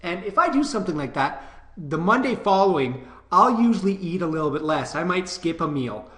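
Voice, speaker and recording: A middle-aged Canadian man with a bright, forceful voice and a slightly higher pitch, a little stuffy from a cold. He coaches straight to the camera in a direct, no-nonsense way. The sound carries a roomy echo. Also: big pitch swings, fairly quick. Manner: direct, coaching, frequent "okay?" check-ins. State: nasal from a cold, sniffling. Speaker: personal trainer. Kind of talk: casual talk to camera.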